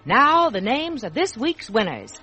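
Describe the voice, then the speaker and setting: A woman announcing a 1940s children's radio show in a scripted, clearly phrased address to young listeners. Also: fairly high pitch, big pitch swings, unhurried, sharp tone, soft background music.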